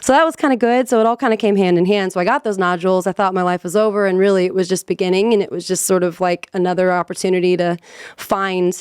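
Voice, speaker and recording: A woman's voice: on the low side, steady tone, fast talker, darkish tone, clean tone, no room sound, studio quality. A woman in her thirties, a professional singer, telling stories animatedly with many fillers and frequent laughter in a podcast interview. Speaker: guest, professional vocalist. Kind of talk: casual storytelling in a podcast interview.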